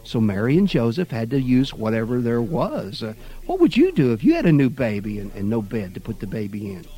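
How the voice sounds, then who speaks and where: Guy doing a soft weary mom, patient, sighs a lot. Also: medium pitch, sing-song, a little fast, husky. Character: weary patient mother reading the Bible story.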